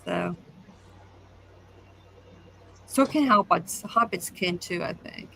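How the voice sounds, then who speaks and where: A woman's voice: on the low side, animated, unhurried, vocal fry, pushed and bright, breathy edge, low-quality recording. A woman hosting a show, chatting casually as she interviews a guest. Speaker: show host. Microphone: online call.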